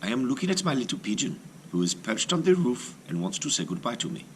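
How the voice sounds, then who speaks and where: A man voicing a clever young boy in a folktale, speaking softly and reassuringly. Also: medium pitch, big pitch swings, fast talker, a little hiss or hum, pitched above the performer's own voice. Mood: calm.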